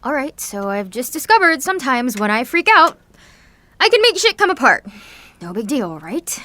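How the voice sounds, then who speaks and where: A woman's voice: high voice, very animated, normal speed, sharp tone, dry recording, heavily acted. A woman playing an anxious, guilt-ridden seventeen-year-old girl with superpowers in an audio drama, speaking in a confessional, sardonic, conversational way. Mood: wry, resigned.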